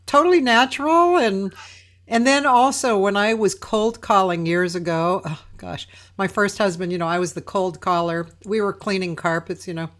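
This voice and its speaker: A woman hosting a casual live interview over a video call from home, relaxed and laughing along. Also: mid-range voice, normal speed. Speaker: host.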